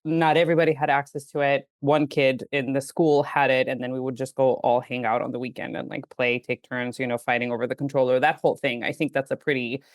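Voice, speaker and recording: A woman's voice: rumbling low, little pitch movement, brisk, few pauses, no room sound. A woman in her thirties from Greece, a game studio head talking casually on a podcast, chatty and laughing easily. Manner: chatty, laughs easily, many "like"s and "you know"s. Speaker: game studio CEO, guest.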